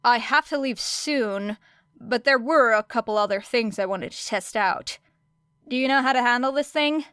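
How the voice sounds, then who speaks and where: A woman's voice: fairly high pitch, normal speed, a bit bright, clean tone, no room sound, natural voice. A woman voicing a wry, nonchalant young female fighter in an audiobook story, casual and dry. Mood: matter-of-fact.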